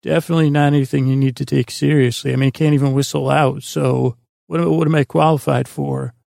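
A man with a gravelly, creaky voice hosting a bedtime podcast in a meandering, halting monologue that trails off mid-thought. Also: medium pitch, flat delivery, a little mellow, dry recording, studio quality. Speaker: podcast host.

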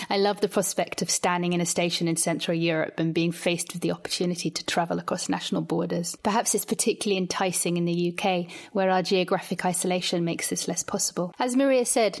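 Lower-voiced woman hosting a podcast. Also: darkish tone. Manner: measured, reflective. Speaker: podcast host. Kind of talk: scripted narration.

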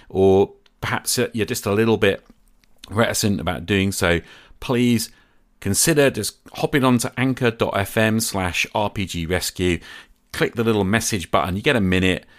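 A man's voice: on the low side, fairly bright tone. A man hosting a podcast, talking in a conversational way to his listeners. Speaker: podcast host.

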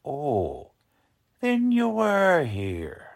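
An actor playing a gruff, sarcastic, tough police lieutenant with an American accent in 1940s radio style, blunt and slangy. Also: male voice, on the high side, huge pitch swings, very slow, exaggerated voice. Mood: probing.

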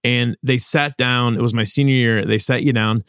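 A man's voice: mid-range voice, fairly even intonation, normal speed, vocal fry, fairly bright tone, clean tone, no room sound, studio quality. A middle-aged man from Ohio with an American accent, chatting casually as a podcast co-host. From Dayton, Ohio, United States. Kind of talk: casual conversational discussion. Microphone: close studio podcast mic.

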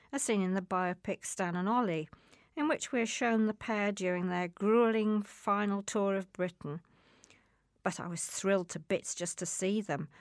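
A woman reading a scripted first-person piece aloud in a measured, storytelling way. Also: medium pitch, no room sound, studio quality. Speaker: reader.

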